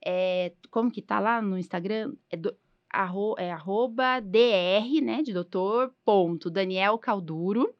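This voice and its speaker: Woman, 40s, from Brazil, podcast host, chatty, filler-heavy, mid pitch. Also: measured pace, muffled recording. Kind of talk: casual podcast chat.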